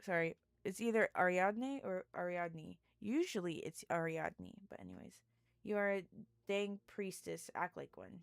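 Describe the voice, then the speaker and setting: A woman's voice: on the low side, very animated, measured pace, vocal fry, no echo. A woman game streamer giving casual, slangy playthrough commentary with playful exclamations. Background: game music.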